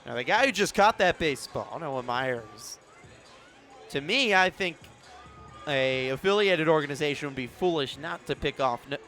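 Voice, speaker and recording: High-pitched American man calling play-by-play. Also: soft background music. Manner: measured delivery rising to excited calls. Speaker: play-by-play sports announcer. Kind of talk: sports radio and TV play-by-play commentary.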